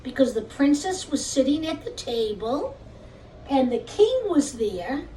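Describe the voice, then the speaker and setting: A woman's voice: high voice, big pitch swings, measured pace, warm tone. A woman teacher telling a children's puppet story, speaking directly to young listeners in simple phrases. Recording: distant mic with faint background noise.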